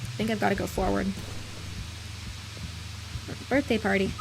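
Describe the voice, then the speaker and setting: A woman's voice: medium pitch, fairly even intonation, moderate pace, vocal fry, noisy background. A woman streamer giving casual commentary on a game, thinking aloud and rambling with restarts. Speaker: let's play streamer.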